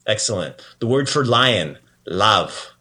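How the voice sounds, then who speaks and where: A man's voice: fairly low pitch, big pitch swings, slow, sharp tone. A man hosting a podcast language lesson in a casual, playful and encouraging way. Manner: encouraging, praising the learner often.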